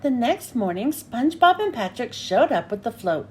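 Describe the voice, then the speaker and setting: A woman reading a picture book aloud to children with an expressive, animated delivery. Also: fairly high pitch, normal speed, a little hiss or hum.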